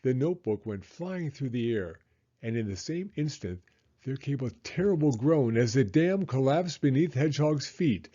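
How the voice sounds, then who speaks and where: A man narrating a children's story as an expressive read-aloud. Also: medium pitch, moderate pace. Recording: slightly dull, muffled audio.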